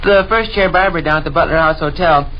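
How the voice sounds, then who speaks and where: A man's voice: on the high side, a little fast, close to an everyday voice. A young-sounding male actor playing a chatty, earnest American adopted son in a 1930s radio comedy. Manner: earnest storytelling. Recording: dull, noisy 1930s radio recording.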